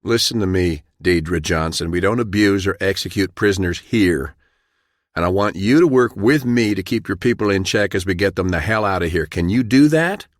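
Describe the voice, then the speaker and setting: Man playing a stern, commanding low-voiced army colonel. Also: animated, moderate pace, dry recording, studio quality. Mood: stern, impassioned. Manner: commanding, clipped, forceful. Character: stern, battle-weary male ex-army colonel, commanding but principled.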